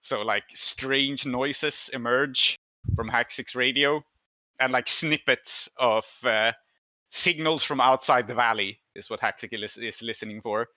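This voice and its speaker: A man running a tabletop game, narrating in a halting, conversational way as he thinks aloud. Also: medium pitch, pushed and bright, no echo, rough recording. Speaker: game master.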